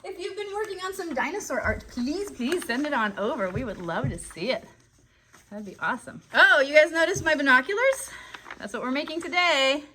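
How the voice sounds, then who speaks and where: A woman's voice: high voice, expressive, a little fast, bright, forceful tone, slight background noise. A woman hosting a casual live puppet show for children in a friendly, encouraging, upbeat way.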